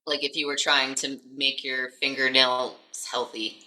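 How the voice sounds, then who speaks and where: Bright, easygoing podcast co-host. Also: medium pitch, little pitch movement, measured pace, slight background noise, rough recording. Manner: easygoing, hesitant, with fillers and laughs. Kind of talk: casual chat.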